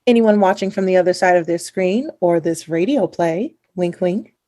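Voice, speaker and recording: A woman's voice: fairly low pitch, moderate pace, dark tone, close to an everyday voice. A woman playing a playful, self-amused narrator in a comedic radio play, breezy and tongue-in-cheek. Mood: wry.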